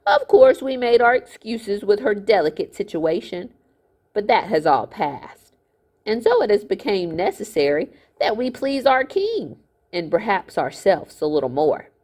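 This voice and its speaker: A woman's voice: medium pitch, very animated, a little mellow, voice pushed up. A woman playing a smug, oily villainous miller. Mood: gloating. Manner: drawn-out, falsely genteel gloating. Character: smug, greedy, fat miller, oily villain, older man.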